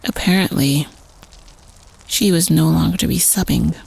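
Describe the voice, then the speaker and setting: A woman playing a teenage prep-school student telling a spooky campfire story casually in an audio roleplay, conspiratorial and at times hushed. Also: deep voice, moderate pace, creaky, husky, exaggerated voice, poor audio. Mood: eerie, suspenseful.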